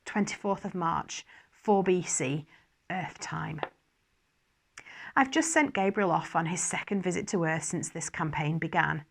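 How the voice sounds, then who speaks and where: A woman reading scripted first-person narration aloud in an expressive storytelling style. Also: on the low side, measured pace, vocal fry. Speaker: story reader.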